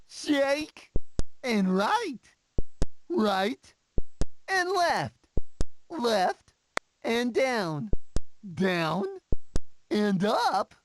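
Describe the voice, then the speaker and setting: A small, boastful cartoon game character with a very high, lively voice. The cheering exclamations come one by one over clear background noise. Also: female voice, full character voice. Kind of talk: short video game voice clips.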